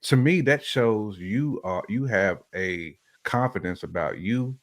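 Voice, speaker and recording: Guy with a lowish voice, podcast co-host, casual slangy talk, repeats himself to make a point. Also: lively intonation, measured pace. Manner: colloquial, slangy, repeats phrases for emphasis. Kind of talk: casual opinionated banter.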